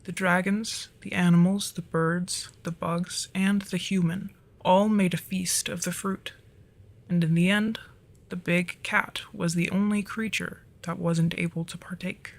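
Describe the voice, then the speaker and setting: A performer of unclear sex voicing a flirty, easily flustered dragon storyteller in an ASMR roleplay, softly and breathily, often slipping into whispers. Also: mid-range voice, little pitch movement, a little slow, creaky. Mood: gentle, storytelling.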